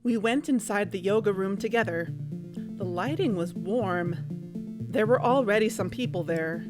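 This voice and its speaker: An actress plays a curious woman on an undercover visit in an audio drama. Her delivery is measured and casual with a sly edge, at an average pitch. Music plays clearly behind her. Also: close to an everyday voice. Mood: measured, secretive. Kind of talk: audio drama narration and natural dialogue.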